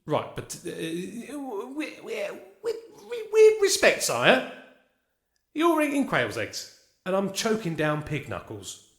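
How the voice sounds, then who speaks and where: Man playing a blunt peasant, highish, animated voice. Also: slow, roomy sound, exaggerated voice. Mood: aggrieved. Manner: blunt, rough working-class speech. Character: blunt, aggrieved, lowly pig-farming peasant serf. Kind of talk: comedy audio drama acting.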